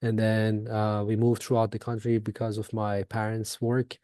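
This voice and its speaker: A young man from Iran chats as a podcast guest, pausing often with fillers and restarts. His voice is mellow and fairly flat in tone. The recording is dry and close. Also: on the low side, dry recording. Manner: hesitant, many fillers and restarts. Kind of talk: casual interview chat.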